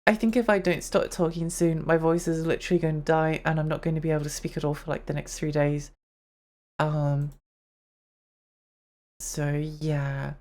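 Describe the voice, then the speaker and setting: A woman's voice: deep voice, steady tone, normal speed, pauses often, darkish tone. A young woman streamer chatting casually on a live stream, her voice tired and strained, rambling and giggling as she talks.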